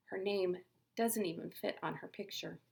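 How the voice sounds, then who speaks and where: A female teacher reading a picture book aloud in an expressive storytelling manner. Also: on the low side, normal speed, creaky, reverberant. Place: classroom.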